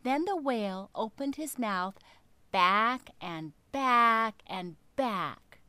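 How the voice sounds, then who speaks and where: Female storyteller for kids' classics: fairly high voice, dramatic and deliberate, close dry recording. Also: expressive, bright, forceful tone, clean tone, no room sound. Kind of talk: expressive children's story narration.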